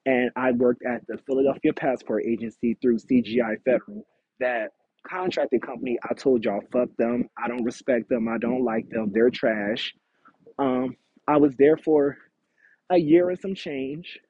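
A man in his thirties hosting his own podcast, talking candidly and casually, with animated quotes of himself. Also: medium pitch, normal speed, slightly rough, no echo. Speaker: podcast host. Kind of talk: casual solo podcast monologue. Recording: tinny phone-like audio, dry.

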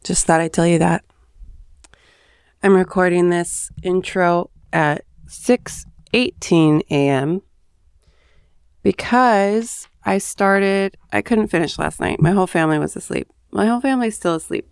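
Hoarse, gravelly woman podcast host. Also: lowish voice, a little slow, no room sound, studio quality. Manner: chatty, rambling with asides. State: hoarse, just woken up. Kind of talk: casual solo monologue. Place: home, early morning.

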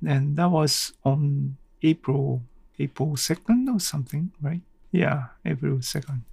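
A man from Japan, a native Japanese speaker with a Japanese accent, hosting a casual solo podcast and haltingly thinking aloud. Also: a little high, creaky, a little mellow, breathy edge, no room sound. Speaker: podcast host.

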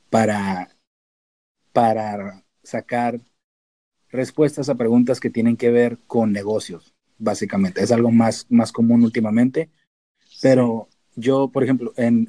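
A man with a northern Mexican accent speaks in a mellow, relaxed tone at a middling pitch. He explains things casually and informally as a podcast guest, dropping in the odd English term. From Tijuana, Mexico. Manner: informal, sprinkles in English terms. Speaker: podcast guest, data analyst and researcher.

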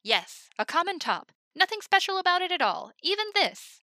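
A woman doing a booming showgirl barker. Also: very animated, normal speed, sharp tone, no echo, studio quality, heavily acted. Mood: showy. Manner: booming, theatrical barker delivery. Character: exuberant young woman soldier playing a showman.

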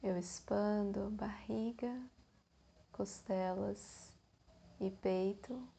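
A woman with a Brazilian Portuguese accent leads a guided meditation in a soothing manner, leaving long pauses between phrases. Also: medium pitch, fairly even intonation, vocal fry, warm tone, husky.

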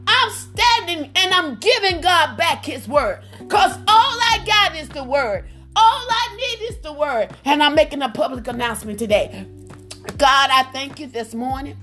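A woman's voice: sits high, lively intonation, measured pace, sharp tone, faint music behind. A woman preacher leading a phone prayer line in a fervent charismatic style, exclaiming praise and interjecting often. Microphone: phone call on a prayer line.

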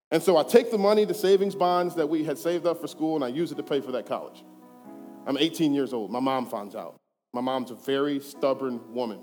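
A man in his thirties giving a personal testimony, earnest and conversational, moving between hushed and emphatic. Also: fairly high pitch. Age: 30s. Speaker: church speaker giving testimony. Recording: reverberant hall with faint music.

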